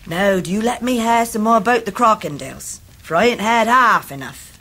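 An actress playing a warm, plain-spoken country nursemaid with a rural English accent in a radio drama. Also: female voice, medium pitch, expressive, moderate pace. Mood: affectionate.

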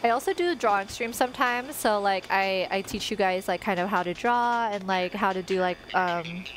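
A woman's voice: medium pitch, normal speed, a bit bright, a little hiss or hum, rough recording. A young woman in her twenties, a native Tagalog speaker, streaming from her bedroom on a headset and chatting in a rambling way with lots of fillers. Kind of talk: casual chat while playing a life-sim game. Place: bedroom at home, indoors. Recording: game music playing behind.

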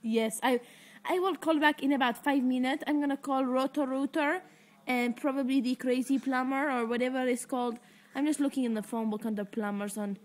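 High-voiced teen girl prank calling. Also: steady tone, brisk. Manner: fake-distressed, rambling, stifling laughs. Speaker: prank caller. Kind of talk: prank phone call. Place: living room at home. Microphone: laptop webcam mic up close.

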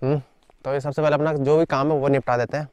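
A man's voice: on the high side, flat delivery, quick pace, a little mellow, smooth, no room sound. Young man vlogging in a forest. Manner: chatty, upbeat, addresses viewers as guys. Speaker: camping vlogger. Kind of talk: casual vlog talk to camera. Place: hillside forest outdoors. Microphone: handheld action camera.

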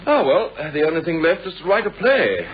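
Dry, teasing Englishman, lively intonation. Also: fairly high pitch, brisk, breathy edge. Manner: dry, bantering. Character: dry, teasing male friend, a family man. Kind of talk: 1960s British radio play acting. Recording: muffled, hissy old radio recording.

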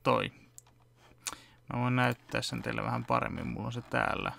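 Brief: young male vlogger, deeply gravelly, mid-pitched, relaxed walkthrough delivery, filmed close-up at a home desk. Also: soft background music. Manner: relaxed, explaining step by step with fillers. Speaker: vlogger and fan dubber. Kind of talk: casual behind-the-scenes chat. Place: home room at a computer desk.

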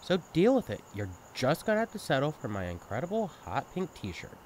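A man's voice: a little high, huge pitch swings, brisk, a little mellow, a little hiss or hum. A young man acting in an intimate audio roleplay as a sibling's best friend, talking candidly and casually in a caring, teasing way. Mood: playful, teasing. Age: young adult. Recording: night ambience with chirping crickets. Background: crickets and insects, outdoor ambience.

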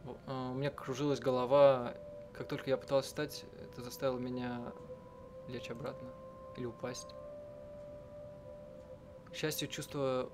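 A man doing let's-play narration, reading and translating text aloud in a halting, self-correcting way. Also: medium pitch. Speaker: game streamer and live translator. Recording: game music under the voice. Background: game music.

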